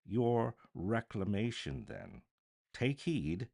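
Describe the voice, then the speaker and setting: A man voicing a gentle but commanding Christmas spirit in a storybook, speaking in a measured, solemn way. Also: lowish voice, wildly swooping pitch, gravelly, exaggerated voice.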